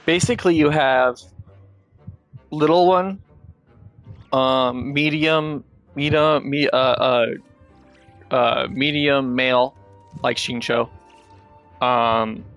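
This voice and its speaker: A man streaming a game with casual commentary, explaining things in a halting, self-correcting way. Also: mid-range voice, steady tone, fairly bright tone. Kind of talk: casual gameplay commentary and chat. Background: video game music.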